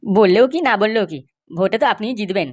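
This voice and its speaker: A woman voicing a flattering, spiteful political sidekick in a comedy cartoon, fawning and cocky. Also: medium pitch, fast talker, close to an everyday voice.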